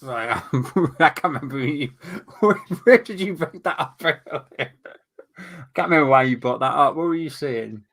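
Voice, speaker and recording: A man's voice: fairly high pitch, animated, moderate pace, fairly bright tone. A man co-hosting a casual live football chat, reading out viewer comments and teasing his co-host. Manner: reads out comments, teasing banter.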